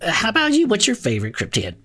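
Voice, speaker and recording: A woman's voice: mid-range voice, huge pitch swings, fast talker, fairly bright tone, soft background music. A woman playing a laid-back, teasing cryptid investigator who co-hosts a club show, wry and casual in a comedic audio fiction. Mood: easygoing.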